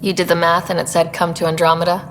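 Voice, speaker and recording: Low-voiced composed woman acting space explorer. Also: completely flat delivery, quick pace, faint music behind, natural voice. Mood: composed, businesslike. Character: composed, supportive young female space explorer and leader. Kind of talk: video game dialogue. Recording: reverberant, faint background noise.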